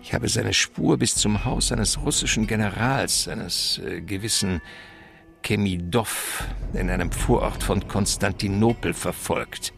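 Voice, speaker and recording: An actor playing a suave, wily criminal mastermind in a German radio crime drama, speaking in a courtly, verbose and pompous way. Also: male voice, fairly low pitch, measured pace, creaky, a bit bright, faint music behind. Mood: relishing storytelling.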